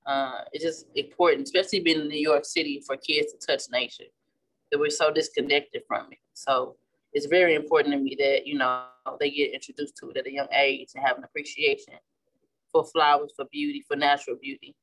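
Woman, 30s, Midwestern accent, relaxed and chatty craft instructor, often restarts mid-sentence. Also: low voice, a little fast, low-quality recording. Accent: Midwestern American. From St. Louis, Missouri. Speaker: florist and class instructor. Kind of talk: casual demonstration while painting. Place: indoor flower shop worktable.

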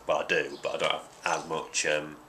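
A man's voice: extremely low pitch, flat delivery, moderate pace, bright, forceful tone. A young man in his twenties with a congested voice from hay fever, chatting casually and rambling with many ums as he unboxes items at home. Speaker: vlogger. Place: small room at home, indoors. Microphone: webcam at desk. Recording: noisy home webcam audio.

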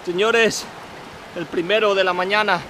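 A man in his thirties vlogging with excitement while fishing from a kayak on a river, switching between Spanish and English. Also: sits high, unhurried. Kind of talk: casual vlog narration while fishing. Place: outdoors on a river, wading and kayaking. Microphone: action camera on a kayak. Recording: noisy outdoor river audio.

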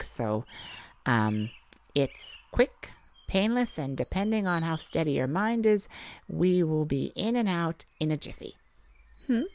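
A woman playing a calm, enigmatic mystic who peers into minds, speaking with soothing, hesitant pauses in an intimate audio roleplay. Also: fairly low pitch, a little hiss or hum. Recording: nature ambience with birds and crickets.

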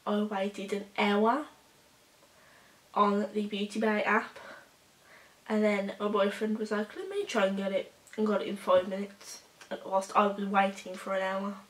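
Hoarse young woman, slow and rambling. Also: medium pitch, level tone, a bit bright, reverberant, slight background noise, poor audio. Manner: rambling, halting, with many fillers. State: hoarse, recovering from a cold, coughing. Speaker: beauty vlogger. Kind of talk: casual makeup tutorial chat. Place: small bedroom indoors.

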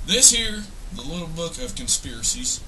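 A man's voice: on the high side, sing-song, normal speed, sharp tone, exaggerated voice. A man playing a pompous old scholar reading aloud from a book, stumbling at times, in an amateur comedy sketch. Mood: lecturing. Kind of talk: amateur comedy scripted narration. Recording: distant, noisy amateur recording.

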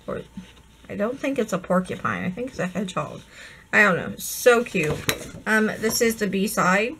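A woman's voice: medium pitch, very animated, normal speed, fairly bright tone, a little hiss or hum. A woman losing her voice, chatting and gushing over craft supplies in a casual haul video at her desk. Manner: gushing, chatty, many ums. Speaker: crafting vlogger.

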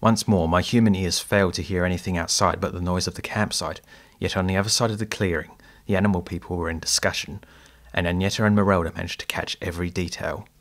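A man playing an earnest adventurer, narrating his tale in a measured, descriptive audio drama style. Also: low-pitched, steady tone, lightly acted. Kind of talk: scripted first-person storytelling.

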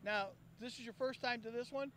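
A man's voice: very high-pitched, a little fast, a bit bright, slight background noise. A man hosting a live stream at an outdoor event, chatty and upbeat, losing his voice from a cold. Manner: chatty and upbeat, coaxing guests. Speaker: host. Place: outdoor park event beside a van. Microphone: handheld mic.

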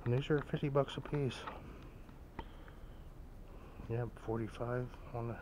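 A man giving casual walk-through commentary as a vlogger, rambling and musing aloud in a low-key way. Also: steady tone, brisk. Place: large indoor antique mall, walking around. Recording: background noise, store ambience.

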